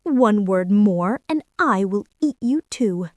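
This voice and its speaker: A woman's voice: mid-range voice, animated, slow pace, darkish tone, no echo. A woman voicing a sly, greedy female cat in a children's fairy tale, with a cool, brazen manner. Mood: threatening. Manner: cool, smooth-talking, brazen.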